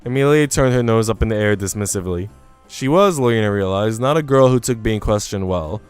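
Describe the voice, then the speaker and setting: A man's voice: fairly low pitch, a little fast, clear, resonant tone. A man streaming casually, chatting and reading in an informal, slangy way. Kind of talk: casual livestream chat and visual novel reading. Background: faint music.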